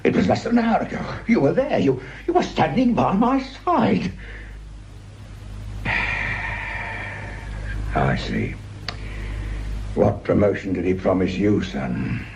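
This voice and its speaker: An actor playing a principled, stubborn detective sergeant in a radio drama, polite yet plain-spoken and defiant. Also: male voice, medium pitch, sing-song, slow, creaky, pauses often, a little mellow, dull audio, slight background noise, exaggerated voice. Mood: bitter, incredulous. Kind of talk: broadcast radio drama acting.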